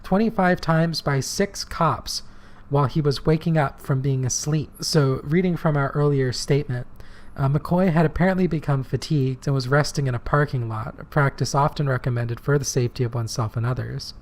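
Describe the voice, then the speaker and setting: A man co-hosting a political podcast, talking earnestly and plainly, his voice slightly hoarse from shouting. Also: mid-range voice, a little fast. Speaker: podcast co-host. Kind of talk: casual political podcast talk.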